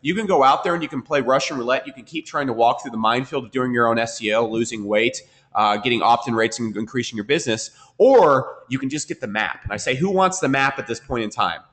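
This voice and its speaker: Rapid-fire man teaching a webinar, stuffy from a cold, mid-pitched, keeps asking okay. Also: fairly bright tone. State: congested from a cold, sniffling. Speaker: marketing trainer.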